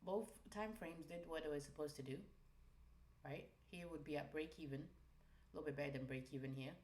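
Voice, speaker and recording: Low-voiced woman teaching trading. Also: brisk, darkish tone, reverberant. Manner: emphatic, ends phrases with "right?". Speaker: trading stream host. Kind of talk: live trading lesson.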